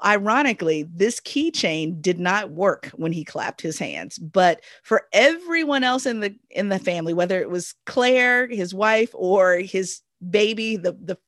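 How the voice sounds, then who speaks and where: A woman's voice: medium pitch, expressive, moderate pace, dry recording. Thoughtful woman co-host, dry close sound. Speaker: podcast co-host. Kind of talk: casual conversation.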